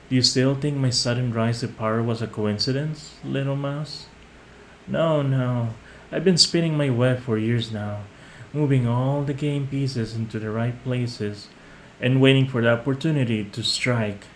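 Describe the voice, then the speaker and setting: A man's voice: mid-range voice, natural voice. A man playing a possessive, scheming young mafia boss in a roleplay audio monologue, speaking with smug, condescending, theatrical menace. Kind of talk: scripted roleplay audio monologue, addressed to a captive listener. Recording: clearly noisy amateur home recording.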